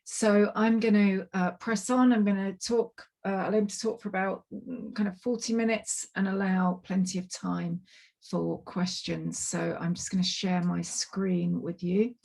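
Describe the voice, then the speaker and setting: A woman giving an online presentation on a video call, her voice a little croaky and tired, chatty and apologetic with lots of ums and restarts. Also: medium pitch, level tone, measured pace, darkish tone. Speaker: climate project manager giving a webinar talk. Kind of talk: online presentation, loosely scripted. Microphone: computer mic on a video call.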